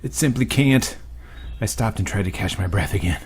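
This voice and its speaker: A man reading a visual novel aloud as a let's play host, casual and profane, now and then bursting into shouts. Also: on the low side, moderate pace, a little hiss or hum.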